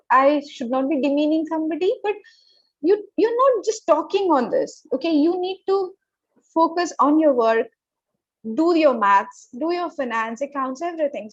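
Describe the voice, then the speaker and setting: A woman's voice: high-pitched, no room sound, highs cut off. A woman from Northeast India, giving emphatic career advice as an interview guest and often checking in with "okay?". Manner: emphatic, repeats words, tags "okay?". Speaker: guest, corporate professional.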